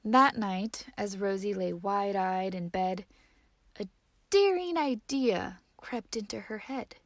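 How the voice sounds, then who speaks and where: A woman reading a children's picture book aloud in an expressive, measured storytelling manner. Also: mid-range voice, no echo. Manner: measured, dramatic storytelling with hushed phrase endings. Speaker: story reader.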